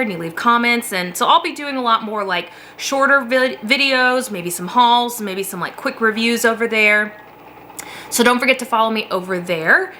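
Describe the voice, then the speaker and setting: A woman's voice: on the high side, pushed and bright, slight background noise. A woman with a slightly sniffly voice from a cold, chatting casually to camera from her basement office, rambling and laughing as she talks. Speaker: beauty vlogger.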